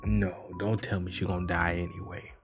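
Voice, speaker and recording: A man giving a casual, slangy live reaction, animated and exclamatory, swearing and repeating himself. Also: sits low, moderate pace, music in the background. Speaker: YouTube reaction host.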